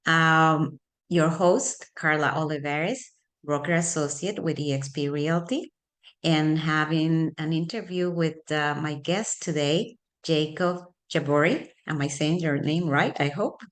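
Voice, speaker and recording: A woman with a notably low-pitched voice hosts an interview over a call. She speaks in a friendly, chatty way, often pausing on uhs and ums as she frames her questions. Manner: friendly, chatty, hesitant fillers. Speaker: podcast host, real estate broker. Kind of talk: conversational interview hosting.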